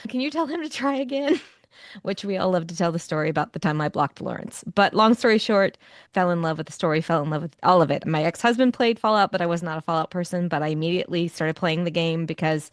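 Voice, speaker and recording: A woman's voice: lowish voice, quick pace, no echo, studio quality. A woman telling casual anecdotes in a chatty, folksy, self-deprecating way. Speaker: voice actor and executive producer.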